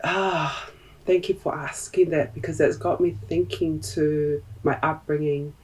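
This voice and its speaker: Slow, thoughtful New woman. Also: low voice, steady tone, a little mellow, distant mic, low-quality recording. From New Zealand. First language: Tongan. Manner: thoughtful, pausing often with fillers. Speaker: podcast guest. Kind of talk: reflective interview talk.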